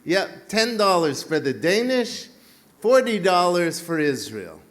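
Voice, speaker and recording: A man's voice: high voice, very animated, unhurried. A man voicing a matter-of-fact male bakery clerk in a joke. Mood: deadpan.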